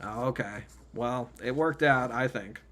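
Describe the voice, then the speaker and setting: A man's voice: mid-range voice, unhurried, bright, forceful tone. A man hosting a casual podcast over a video call, rambling and joking at his own expense. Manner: rambling, self-mocking, restarts. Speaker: podcast host.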